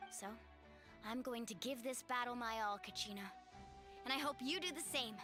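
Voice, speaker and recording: A woman's voice: a little high, normal speed, over music, lightly acted. A woman voicing a confident, kind and resolute young warrior girl in an anime-style video game.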